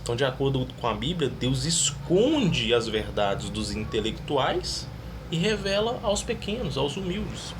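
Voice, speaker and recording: A man's voice: mid-range voice, sing-song, measured pace, noisy background. A man giving his opinion in a casual monologue, earnestly reading Bible verses aloud. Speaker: religious video creator.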